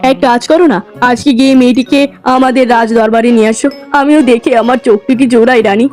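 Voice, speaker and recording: Woman playing a high-voiced, courteous king. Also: steady tone, fast, a little mellow, lightly acted. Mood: curious. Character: concerned, courteous fairy-tale king and husband. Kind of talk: children's cartoon acting. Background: music.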